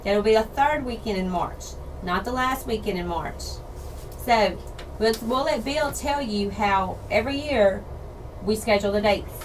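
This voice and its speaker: A woman co-hosting a radio outdoors show in casual chat, slightly hoarse from losing her voice. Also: mid-range voice, a little slow. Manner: chatty, laughs easily, repeats details. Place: radio studio. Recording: distant mic with background noise.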